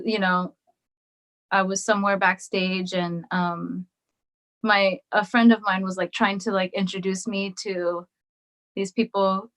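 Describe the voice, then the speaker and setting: A woman who is a musician guest on a podcast, answering in a reflective, hesitant way with many pauses and restarts. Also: mid-range voice, fairly bright tone, rough recording. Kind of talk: casual interview chat.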